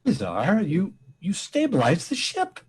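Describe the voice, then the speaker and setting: A man performs a pompous space admiral in radio comedy. The delivery is grave and melodramatic, with big sweeps in pitch. Every phrase is formal and theatrical. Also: huge pitch swings, a little slow, husky, heavily acted. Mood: formal, cordial. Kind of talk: comic radio play acting.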